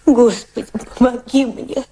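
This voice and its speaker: A woman's voice: a little high, steady tone, unhurried, dark tone, roomy sound, natural voice. An actress playing a frightened, desperate society woman who pleads and exclaims in a dramatic radio play. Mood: terrified pleading.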